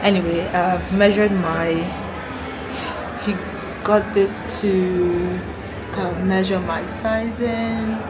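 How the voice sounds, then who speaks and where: A woman's voice: medium pitch, steady tone, slow. A woman from Nigeria chatting casually to her vlog viewers in an exclamatory, conversational way. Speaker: vlogger. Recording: clear ambient and vehicle noise.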